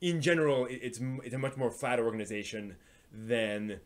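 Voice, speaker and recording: A man hosting a live stream, talking in a casual, analytical way and often halting as he thinks aloud. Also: medium pitch, steady tone. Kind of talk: casual rambling live commentary on anime and comics.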